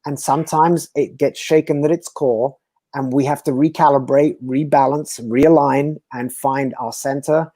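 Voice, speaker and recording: A man from Belgium, a guest speaker talking earnestly and philosophically in slow, emphatic phrases. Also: medium pitch, fairly even intonation, warm tone, no room sound. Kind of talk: reflective conversational talk.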